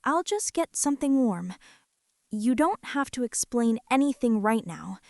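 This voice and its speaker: A woman playing a gentle, nervous mother in a soft-spoken ASMR roleplay, tender, hushed and hesitant as she reassures and fusses. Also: a little high, very animated, a little slow, no room sound, clean studio sound. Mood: soothing. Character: gentle, nervous mother fussing over a hurt boy.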